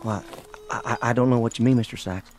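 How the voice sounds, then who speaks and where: Male actor as timid Old West storekeeper, medium pitch, stammering, radio drama, faint music. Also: steady tone, fast, a little mellow, close to an everyday voice. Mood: nervous, cowed. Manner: stammering, servile.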